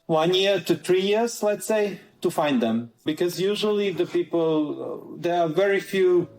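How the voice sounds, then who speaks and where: Croatian guy talking english, slightly high voice, earnest, lists his points one by one, echoey room. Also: normal speed, darkish tone. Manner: earnest, lays out points in order. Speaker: guest. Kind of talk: conversational interview.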